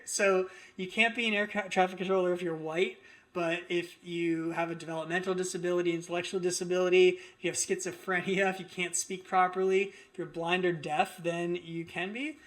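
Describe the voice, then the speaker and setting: Male political commentator, higher-than-average voice, indignant and emphatic, unscripted opinion delivery. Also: a bit bright. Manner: indignant, emphatic, repetitive phrasing.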